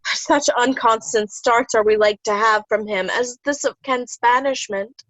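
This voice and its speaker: A cool, calculating princess played by a woman in a Shakespearean reading. The voice is fairly high, with a very dry sound. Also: fairly even intonation, unhurried, fairly bright tone, no room sound, rough recording. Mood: cool, wary. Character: cool, calculating royal daughter.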